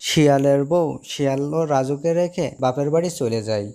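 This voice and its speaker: A man's voice: medium pitch, brisk, over loud music. A man narrating a scripted cartoon fable as storyteller.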